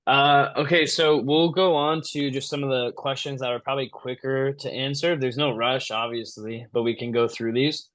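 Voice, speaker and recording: A man's voice: medium pitch, steady tone, moderate pace, few pauses, fairly bright tone, dry recording. A young man hosting a podcast, chatting casually and asking questions over a video call. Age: young adult. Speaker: podcast host. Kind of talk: casual interview chat.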